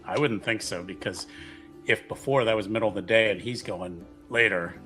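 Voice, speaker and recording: A man's voice: fairly low pitch, moderate pace, a bit bright, soft background music. A man chatting casually as a player in a tabletop roleplaying game.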